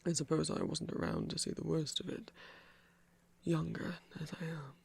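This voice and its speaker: A man voicing a gentle trickster god in an ASMR roleplay, whispering slowly and soothingly in a breathy, creaky voice with refined, hesitant phrasing. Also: fairly high pitch, steady tone, heavily acted. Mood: gentle reminiscing.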